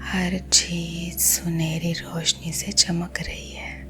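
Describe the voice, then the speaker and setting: Woman, Indian accent, breathy whisper, low pitch, soothing guided visualisation, husky, gentle. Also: flat delivery. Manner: slow, soothing, gentle, hushed. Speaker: ASMR host and sleep hypnosis guide. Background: soothing music.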